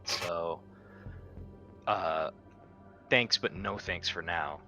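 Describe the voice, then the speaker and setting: A man's voice: sits low, drawn-out words, bright, forceful tone, soft background music. A man playing a tabletop roleplaying game, speaking bluntly and casually with plenty of swearing. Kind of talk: casual improvised in-character game chat.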